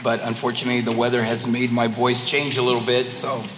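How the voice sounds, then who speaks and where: A slightly hoarse man runs a school board meeting in a formal, courteous way, calling names and announcing agenda items. He is heard in a large, roomy hall with a long reverb. A faint hum of room noise sits under his voice. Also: medium pitch, little pitch movement, quick pace.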